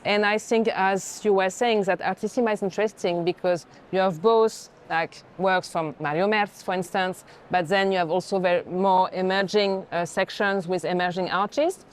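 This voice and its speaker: A woman's voice: mid-range voice, steady tone, moderate pace, few pauses. A woman speaking as a senior curator in reflective, conversational commentary on art. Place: indoor art fair. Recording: faint fair background noise.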